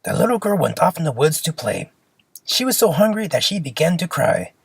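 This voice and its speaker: A storyteller reading a children's fairy tale aloud in an expressive, simple storybook manner. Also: mid-range voice, a little fast, vocal fry, breathy edge, no echo.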